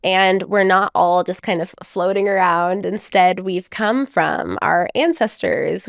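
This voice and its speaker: A woman guest speaks in a measured, reflective storytelling way, laughing lightly here and there. Her voice sits at a middle pitch. The recording is close and dry, with no room sound. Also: no room sound. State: married mother. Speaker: guest author. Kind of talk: conversational podcast interview.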